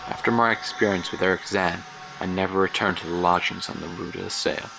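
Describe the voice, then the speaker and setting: A man's voice: low voice, steady tone, gravelly, over music. An actor playing a haunted older man, recalling his youth in a grave, literary horror monologue for an audio drama. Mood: troubled. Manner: grave, literary, measured storytelling. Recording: noisy track with ambience behind.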